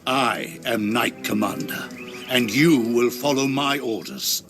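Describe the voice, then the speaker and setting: An actor playing a stern, scheming old knight commander in a video game, imperious and formal. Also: male voice, mid-range voice, takes it slowly, lightly acted. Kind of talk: video game cutscene acting. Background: faint music.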